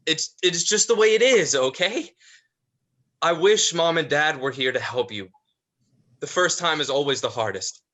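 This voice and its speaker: An actor playing a protective, tender older brother in a vampire family, in a dramatized play reading with halting, repeated words. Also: male voice, fairly high pitch, expressive, fairly bright tone. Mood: soothing. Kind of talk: dramatized livestream script reading.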